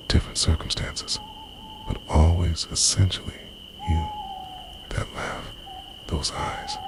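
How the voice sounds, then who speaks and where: ASMR roleplay, male actor as possessive body-stealing immortal boyfriend: bottomless bass, often whispered, dramatic and menacing. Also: exaggerated voice. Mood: possessive devotion. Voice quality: very deep, breathy whisper.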